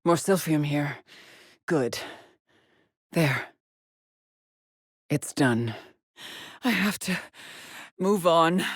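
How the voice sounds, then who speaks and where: A haunted lone female space explorer from a sci-fi video game, speaks in a low, husky voice. She is measured and solemn, weary yet determined, and often breathy, as if logging her findings alone. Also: no room sound, clean studio sound, full character voice. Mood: quiet, haunted. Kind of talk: dramatic game voice lines, short log entries.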